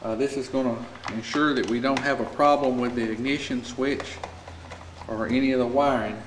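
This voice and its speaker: American male repair instructor, mid-pitched, practical and informal, hands-on tutorial delivery, reverberant shop recording. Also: steady tone, normal speed. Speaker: small engine repair instructor. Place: indoor repair shop at a workbench. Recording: echoey room with clear background noise.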